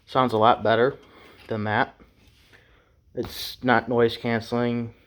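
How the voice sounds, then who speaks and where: A man's voice: mid-range voice, flat delivery, slow, a bit bright. A man giving a casual, unscripted hands-on DIY tutorial in a laid-back, folksy way. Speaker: DIY how-to presenter.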